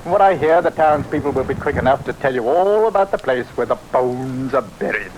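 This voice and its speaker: A man's voice: mid-range voice, animated, fairly quick, over loud music, natural voice. An actor playing a genial, casual senior policeman in an old radio drama, with a British accent. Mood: genial, breezy. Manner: affable, casual, chuckling. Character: genial, breezy male Scotland Yard superior. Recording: noisy vintage radio recording.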